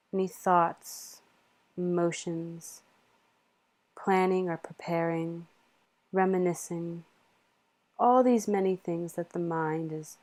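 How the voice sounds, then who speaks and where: A woman leading a guided meditation in a soothing, measured manner with long pauses. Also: fairly low pitch, darkish tone.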